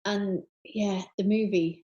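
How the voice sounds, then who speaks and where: A woman's voice: medium pitch, steady tone, slow, dark tone, muffled recording. A woman co-hosting a podcast in casual chat, enthusiastic and repeating words for emphasis. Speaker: podcast co-host.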